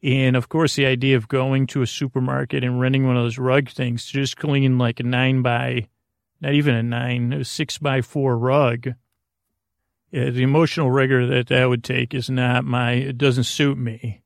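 A middle-aged American man hosting a sleep podcast with a rambling, halting, self-deprecating bedtime monologue. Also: mid-range voice, level tone, vocal fry, dry recording, studio quality.

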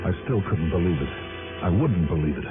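An actor playing a world-weary, hard-boiled private investigator in a radio detective drama, brooding and dogged in clipped phrases. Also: male voice, sits low, big pitch swings, brisk. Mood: grim, brooding. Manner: clipped, brooding, self-questioning delivery. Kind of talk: 1950s-60s radio detective drama narration and dialogue. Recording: vintage tinny radio recording, music behind.

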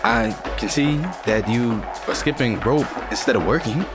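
A man playing an easygoing, gently teasing partner in a naturalistic audio drama, mild and coaxing. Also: mid-range voice, normal speed, over music, lightly acted.

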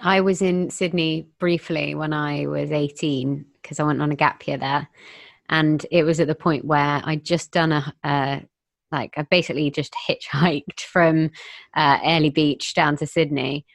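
A young woman hosting her own podcast, chatty and bubbly, with quick asides. Her voice sits low for a woman and sounds dry and close, with no room around it. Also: steady tone, vocal fry, dry recording. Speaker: podcast host. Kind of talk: podcast intro and casual interview.

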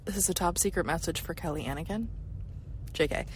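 A woman's voice: on the low side, a little fast. A woman leaving a casual, rambling voice memo as a listener, chatty and slangy with meandering asides. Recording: noisy voice memo, vehicle-like ambience.

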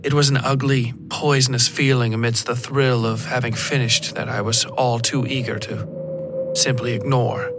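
A man narrates scripted horror fiction in a medium-pitched voice. His delivery is deliberate and literary, like a storyteller reading prose aloud. Prominent music runs behind the words. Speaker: podcast narrator.

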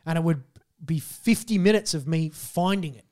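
An Australian man co-hosting a podcast in casual chat, hoarse after losing his voice, swearing and telling stories animatedly. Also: fairly high pitch, moderate pace, mellow. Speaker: podcast co-host.

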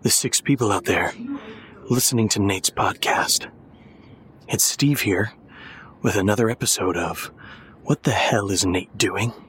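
An actor as a sardonic young man hosting his own mock podcast. He drags his words out slowly in a low, sharp-edged voice, deadpan and sarcastic throughout. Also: husky, heavily acted.

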